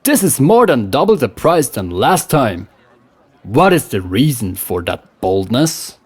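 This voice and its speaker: A man's voice: medium pitch, very animated, measured pace, slightly rough. A man voicing a stern, commanding Norse crew leader. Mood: forceful. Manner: commanding, emphatic.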